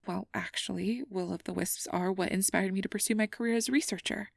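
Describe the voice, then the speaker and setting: A woman playing a nervous, earnest young fantasy researcher in an ASMR roleplay, rambling and self-doubting, with soft breathy delivery. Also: mid-range voice, fairly even intonation, brisk, dry recording, clean studio sound. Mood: wistful, soft. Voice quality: breathy, often dropping to a soft whisper. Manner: flustered, stammering, over-explaining, self-doubting.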